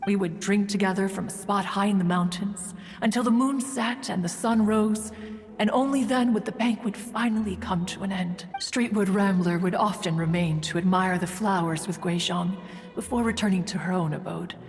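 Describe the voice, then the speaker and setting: A woman's voice: medium pitch, moderate pace, lightly acted. A woman playing a proud, wistful ancient female immortal in a game, speaking in formal, archaic, pompous phrases. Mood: nostalgic. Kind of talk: scripted game dialogue, storytelling. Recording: long hall reverb, music behind. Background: music.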